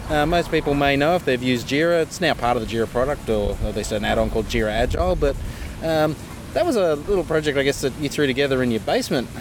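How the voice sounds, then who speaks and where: A man interviews a guest at a fast, chatty clip. He stumbles through fillers and restarts. Traffic and street noise run clearly behind him outdoors. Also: medium pitch, lively intonation. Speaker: podcast host. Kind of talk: casual interview chat. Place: outdoors near a river and traffic.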